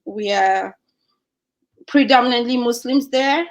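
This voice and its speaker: A young woman from Nigeria with a Nigerian accent, chatting as a guest in an animated way and laughing often. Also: on the high side, measured pace. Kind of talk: casual chat.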